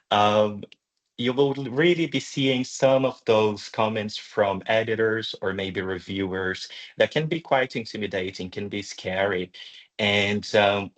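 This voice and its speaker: A man with a fairly low voice leads an online webinar. He explains things in a measured lecture style, pausing hesitantly as he goes. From Brazil. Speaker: webinar presenter, journal deputy editor. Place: online video call.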